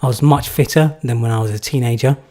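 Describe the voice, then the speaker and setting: A man's voice: mid-range voice, fast, a little mellow. A young man from England answering viewer questions in a live stream, casual and rambling with many fillers. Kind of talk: live Q&A chat.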